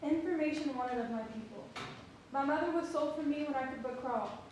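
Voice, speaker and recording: High-voiced actress as a freed orphaned daughter, echoing. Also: female voice, a little fast, a little mellow, close to an everyday voice. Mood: plaintive, earnest. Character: formerly enslaved person sold away from mother as child. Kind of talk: dramatic reading of a historical newspaper ad. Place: theatre or hall, recorded from a distance. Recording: echoey hall from far off, faint noise.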